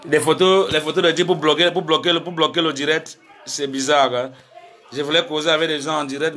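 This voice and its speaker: A man's voice: a little high, a bit bright. A middle-aged man from Cameroon hosting a live stream, ranting angrily and emphatically at his critics, then fumbling and muttering. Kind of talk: heated live-stream rant to viewers.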